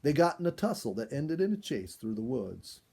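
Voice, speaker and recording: A man reading a children's story aloud as an expressive storyteller in scripted narration. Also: medium pitch, normal speed, gravelly, breathy edge.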